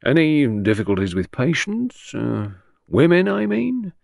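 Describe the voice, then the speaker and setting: Audiobook role: canny, gruff male detective, acted by a narrator, creaky, confiding and probing, very close dry sound. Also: on the high side, huge pitch swings, measured pace, a little mellow, dry recording, pitched above the performer's own voice, exaggerated voice. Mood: confidential probing. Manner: easy, confidential, probing, grunting at times. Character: shrewd, probing male police inspector, gruff yet confidential. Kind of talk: audiobook character reading.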